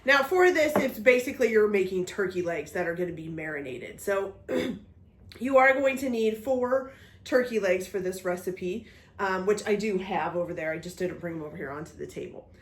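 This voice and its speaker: A woman's voice: mid-range voice, lively intonation, normal speed, a little hiss or hum. A woman hosting a home cooking video, talking through each step while getting over a cold, checking in with "okay?" as she goes. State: recovering from a cold, voice a bit off. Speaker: cooking vlog host. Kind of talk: casual cooking demonstration. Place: home kitchen. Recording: echoey kitchen room.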